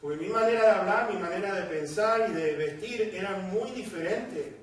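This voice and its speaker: A man's voice: sits high, normal speed. A man with a Puerto Rican accent preaching to a congregation, telling his own story and acting out the voices in it. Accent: Puerto Rican Spanish. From Puerto Rico. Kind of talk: sermon with personal testimony. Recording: echoey hall, distant mic.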